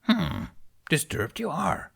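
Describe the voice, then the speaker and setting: A man voices a wizened little green alien master with a raised, breathy-soft tone and drawn-out pace. He hums between phrases and flips his word order, probing gently like a cryptic grandfather. The sound reads as a storybook creature. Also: on the high side, sing-song, pitched above the performer's own voice, exaggerated voice. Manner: inverted word order, humming, soft and slow. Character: ancient small green alien sage, cryptic.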